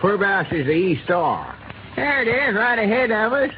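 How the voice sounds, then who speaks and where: A steady old Arkansas backwoods fellow who drawls his words and keeps everyone calm. His voice sits high, and it comes through a tinny 1930s radio transcription with hiss behind it. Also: normal speed. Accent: rural Arkansas. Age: older. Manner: drawling backwoods dialect, reassuring. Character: old country storekeeper man, folksy and steady. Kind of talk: 1930s radio comedy acting.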